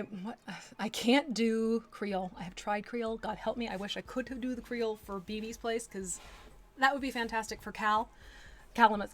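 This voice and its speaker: Chatty woman rambling while she paints. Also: medium pitch. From Texas, USA. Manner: chatty, rambling, with asides and laughs. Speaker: livestreamer painting miniatures. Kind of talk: casual chat while working.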